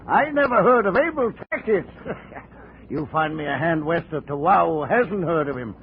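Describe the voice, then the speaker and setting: An actor playing a cynical, weathered ship's captain in a 1950s radio drama, with a salty, sardonic, colloquial way of talking. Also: male voice, on the high side, animated, slow pace. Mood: bitter amusement. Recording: muffled, noisy 1950s radio recording.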